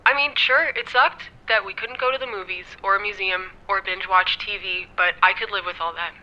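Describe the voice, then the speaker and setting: An actress playing a gentle, guilty young ex-girlfriend on a telephone, soft-mannered, apologetic and halting, in a naturalistic audio drama. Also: mid-range voice, steady tone. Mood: earnest, concerned. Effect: telephone filter. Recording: thin, band-limited telephone line.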